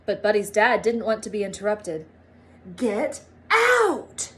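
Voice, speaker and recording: A woman reading a children's storybook aloud in an expressive, emphatic manner. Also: medium pitch, fairly quick. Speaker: storybook reader, teacher.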